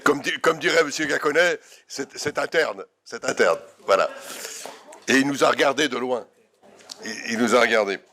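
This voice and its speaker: A man chairing a council meeting, making informal announcements in a halting way with repeated words. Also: mid-range voice, bright, forceful tone. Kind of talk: informal closing remarks and announcements.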